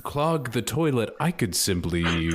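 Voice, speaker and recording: A man's voice: on the low side, big pitch swings, measured pace, husky. A man improvising casually as a player in a tabletop roleplaying game, playful and mock-grumbling.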